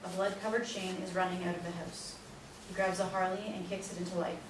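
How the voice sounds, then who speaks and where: Woman, play-reading narrator, scripted stage directions, mid pitch, distant mic, heavy room echo. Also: level tone, fairly quick, noisy background.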